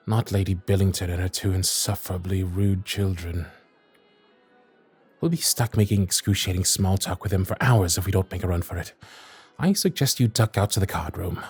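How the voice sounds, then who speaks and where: A man's voice: deep voice, moderate pace, darkish tone, slightly rough, faint music behind, full character voice. A man playing a proud, teasing young Victorian lord in an ASMR roleplay audio drama, with formal, dry, sardonic period speech. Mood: exasperated.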